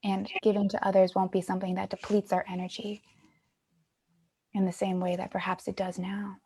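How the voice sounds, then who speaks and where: A woman's voice: medium pitch, level tone, fairly quick, dark tone, breathy edge. A woman in her twenties guiding an online creative workshop for young people in an encouraging, reflective way. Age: 20s. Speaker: workshop facilitator.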